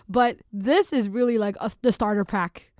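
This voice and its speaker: A young woman hosting a podcast, talking in an animated, rambling way as she goes through listener resources. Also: mid-range voice, normal speed, dry recording, clean studio sound. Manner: animated, warm, rambling host. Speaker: podcast host. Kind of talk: casual podcast chat.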